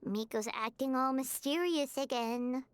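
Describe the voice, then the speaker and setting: A woman voicing a tiny, excitable, childlike fairy girl companion in a video game, squeaky and full of naive questions. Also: unhurried, clean tone. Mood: worried, surprised. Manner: naive questioning, refers to herself by name. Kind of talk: English video game voice acting. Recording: music behind the voice.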